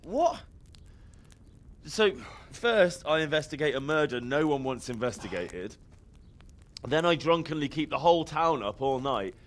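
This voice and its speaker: A reluctant, hungover young man in video game style. He is sceptical and sarcastic, and his exasperated delivery moves up and down in an animated way. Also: a little high, measured pace. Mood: incredulous. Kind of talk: video game cutscene acting.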